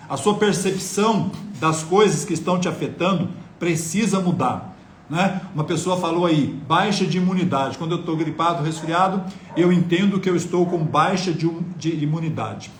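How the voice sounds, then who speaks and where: A man speaking in a fairly high voice gives an earnest health lecture over a live stream and keeps putting rhetorical questions to his viewers. His voice rings around a bare, echoey room, with a faint hiss underneath, picked up by a phone. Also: moderate pace. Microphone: phone on mobile data.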